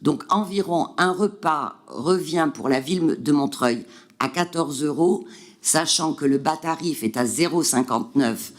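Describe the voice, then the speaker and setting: A man's voice: a little high, measured pace. A man presenting figures at a city council meeting, hesitant, with many fillers and restarts. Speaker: city councillor answering questions.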